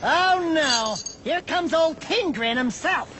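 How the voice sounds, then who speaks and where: An actor playing an excitable, cheeky little sidekick in a video game, sarcastic and full of whiny bravado. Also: male voice, very high voice, takes it slowly, background noise, exaggerated voice. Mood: nervous bravado.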